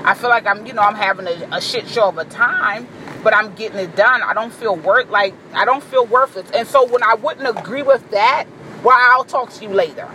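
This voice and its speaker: Deep-voiced woman, blunt and assertive, forceful bright tone, car vlog with faint noise. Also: normal speed, rough recording. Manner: boisterous. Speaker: vlogger. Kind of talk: candid monologue to camera.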